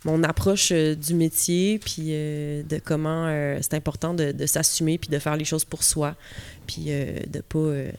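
A woman's voice: low-pitched, level tone, unhurried, a little mellow, clean tone. A Québécois woman speaks as a guest on a music podcast. Her talk is easygoing and informal. She answers loosely, with small fillers between thoughts. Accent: Québécois French. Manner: easygoing, laughs often. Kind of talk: casual podcast interview.

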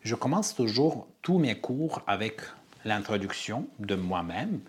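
A man from Armenia, a playwright and director, answering interview questions earnestly and pausing to find his words. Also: lowish voice, breathy edge. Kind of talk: interview conversation.

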